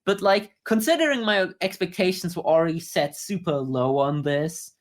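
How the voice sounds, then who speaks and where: A man's voice: high voice, normal speed. Man casually explaining his opinions. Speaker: podcast co-host. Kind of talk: casual review discussion.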